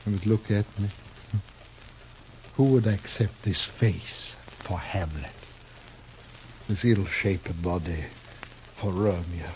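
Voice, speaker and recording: An actor in a 1950s American radio drama playing a desperate, bitter, misshapen Shakespearean player turned fugitive, with theatrical, archaic speech. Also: male voice, low voice, slow pace, pauses often, darkish tone. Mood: bitter self-pity. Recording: dull, noisy 1950s radio recording.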